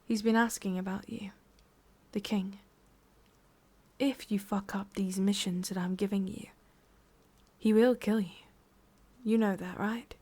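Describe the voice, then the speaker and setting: A woman acts out a sadistic demon-world boss in an intimate ASMR roleplay. Her English-accented voice is breathy and slips often into whispers. She taunts and condescends, wrapping menace in mock tenderness. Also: mid-range voice, steady tone, warm tone. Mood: condescending, menacing. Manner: slow, taunting, condescending, with pauses and sighs.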